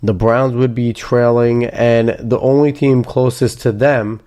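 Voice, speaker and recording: A man's voice: medium pitch, steady tone, measured pace, warm tone. A man hosting a solo sports betting podcast, sounding nasal from being sick, talking in a casual, conversational way. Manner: casual, conversational, self-deprecating. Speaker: podcast host.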